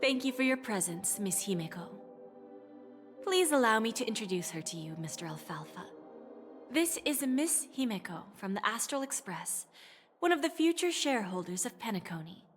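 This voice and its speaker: An actress playing a poised, calculating female corporate executive in a video game, speaking formally and deliberately. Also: fairly high pitch, sing-song, faint music behind. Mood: formal, gracious. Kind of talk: scripted video game voice acting.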